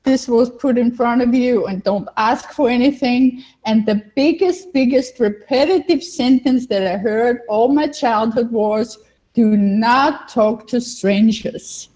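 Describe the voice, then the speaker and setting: A woman's voice: on the high side, a little slow, mellow. An older woman from Vienna with an Austrian accent, a native German speaker, telling her personal story as an interview guest, repeating phrases for emphasis. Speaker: interview guest, life coach. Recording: dull, lo-fi online call audio.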